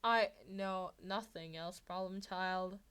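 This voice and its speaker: A woman voicing a weary, gruff male schoolteacher in a story reading, blunt and exasperated. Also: medium pitch, fairly even intonation, slow pace, a bit bright.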